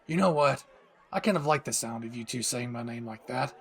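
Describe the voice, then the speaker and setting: A man's voice: medium pitch, expressive, fairly quick, gravelly, fairly bright tone, husky, a faint crowd, full character voice. A man voicing a brash, cocky young superhero boyfriend who teases affectionately in an intimate romantic audio roleplay. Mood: teasing, affectionate. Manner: teasing, affectionate, swears casually.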